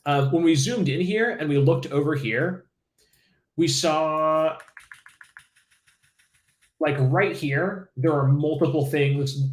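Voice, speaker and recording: A man's voice: a little high, echoey room. A man teaching a live-streamed coding lesson, casual and enthusiastic, with many fillers and restarts. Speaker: livestream coding instructor.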